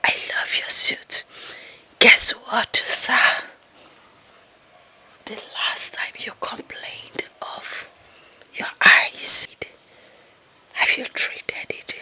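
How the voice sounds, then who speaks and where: A woman with an African accent playing a possessive, lovestruck young office employee in a breathy, whispered ASMR roleplay, coaxing and fawning with slow emphasis. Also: sharp tone, heavily acted. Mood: fawning, eager.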